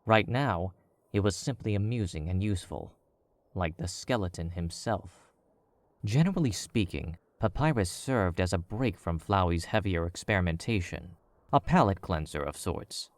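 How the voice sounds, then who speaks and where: A man with a very low voice narrates a story in a measured, even way. The sound is close and dry, with no room around it. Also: no room sound. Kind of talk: scripted audiobook narration.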